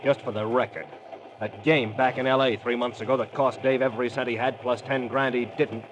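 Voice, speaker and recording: A man's voice: level tone, brisk, natural voice. An actor playing a menacing, tough gunman protective of his brother in an old radio crime drama, with hard, slangy, threatening talk. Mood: bitter, menacing. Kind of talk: 1940s-50s radio crime drama acting. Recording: noisy old radio recording, train rumble behind.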